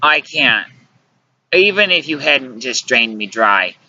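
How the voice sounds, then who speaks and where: A narrator voicing a weary, sulking, stubborn lover who is emotionally raw and pleads slowly, in an audiobook story. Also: mid-range voice, expressive, bright, forceful tone, dull audio, a little hiss or hum, full character voice. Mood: choked up.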